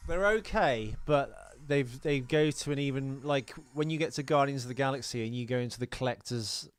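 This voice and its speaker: A man's voice: medium pitch, moderate pace. A man co-hosting a podcast, talking casually about films in a rambling, wry, explanatory way. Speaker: podcast co-host. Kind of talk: casual film discussion.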